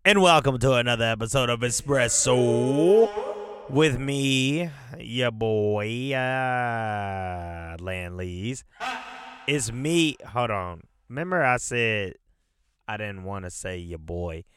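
A man's voice: medium pitch, expressive, slow, a bit bright, clean tone. A man hosting a casual solo podcast, rambling loosely and cursing often, with a stuffy nose. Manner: loose, profane, rambling with fillers. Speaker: podcast host.

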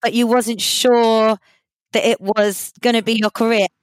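Higher-voiced woman interviewer, dry sound. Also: level tone, unhurried, dry recording. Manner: encouraging, frequent affirming interjections. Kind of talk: interview questions.

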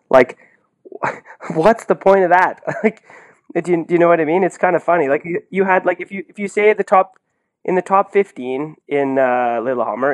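A man in a casual sports podcast conversation, with a middling pitch. He gives blunt opinions in an incredulous way, leaning on rhetorical questions and peppering his sentences with "like". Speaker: podcast commentator.

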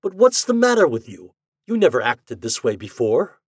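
A man playing a flustered, excitable husband in a comic story, blurting indignantly. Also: mid-range voice, normal speed, breathy edge, dry recording, studio quality. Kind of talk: storybook character voice.

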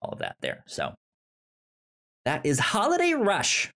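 A man co-hosting a casual podcast chat, pitching movies with many stammered restarts and fillers. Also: huge pitch swings, unhurried, pushed and bright. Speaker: podcast co-host.

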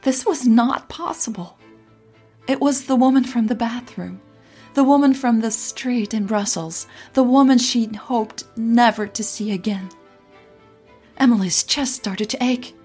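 A woman narrating scripted audio fiction as a podcast storyteller, in a measured, dramatic way. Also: a little high, darkish tone, breathy edge, soft background music.

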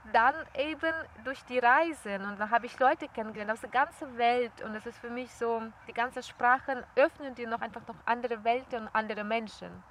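A young woman tells stories in a lively, chatty way. Her voice sits a little high. A faint hum of outdoor noise runs underneath. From former Soviet Union. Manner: lively, chatty storytelling with laughs. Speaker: interviewee. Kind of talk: casual conversation. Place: outdoors, birds nearby.

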